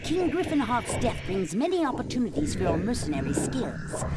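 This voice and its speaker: Actress as scheming woman adviser, swooping pitch, noisy. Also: lightly acted. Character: scheming female adviser to a mercenary lord. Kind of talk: scripted cutscene dialogue. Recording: very noisy old game audio.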